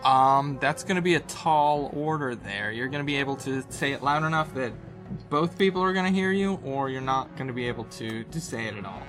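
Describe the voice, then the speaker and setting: A man acting as game master, narrating a tabletop game casually and informally, often pausing to think aloud. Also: mid-range voice, lively intonation. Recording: music behind the voice. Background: game soundtrack music.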